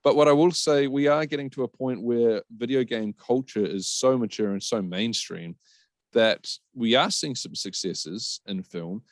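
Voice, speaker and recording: A man's voice: medium pitch, moderate pace, no room sound. A man giving blunt opinions as a panelist in a casual podcast chat.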